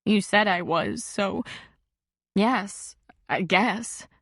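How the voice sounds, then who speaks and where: A woman's voice: mid-range voice, extremely slow, fairly bright tone, breathy edge, no room sound, clean studio sound, full character voice. A woman voicing an upset, humiliated teenage girl who sounds small and tearful. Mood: weary.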